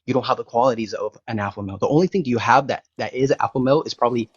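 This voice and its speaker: A man's voice: mid-range voice, very fast, breathy edge, no echo. A young man whose first language is Spanish, arguing bluntly in a casual live-stream debate, rambling with many restarts and fillers. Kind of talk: casual argumentative chat. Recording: dry, dull lo-fi call audio.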